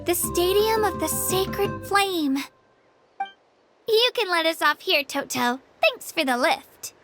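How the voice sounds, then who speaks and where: Tiny chirpy game girl, super high voice, friendly and earnest, music playing behind. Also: lively intonation, takes it slowly, full character voice. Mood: upbeat. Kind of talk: video game voice acting.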